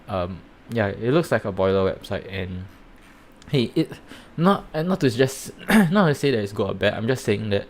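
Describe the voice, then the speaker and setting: A man with a Singaporean accent giving rambling, halting commentary while live-streaming reviews of projects. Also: on the low side, animated, slight background noise. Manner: rambling, halting, many fillers and restarts.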